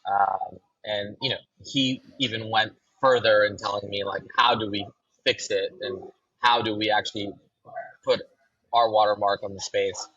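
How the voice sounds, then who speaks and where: Husky low-voiced man, muffled dry sound. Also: moderate pace, no room sound. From Los Angeles. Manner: relaxed, fills with ums and restarts. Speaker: brand co-founder. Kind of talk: casual podcast interview.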